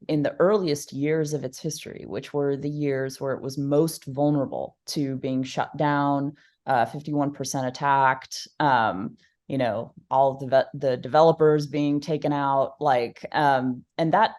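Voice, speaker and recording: A woman's voice: a bass voice, moderate pace, darkish tone. A woman guest on an interview podcast, speaking thoughtfully with many fillers, restarts and easy laughs. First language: Polish. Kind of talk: conversational interview.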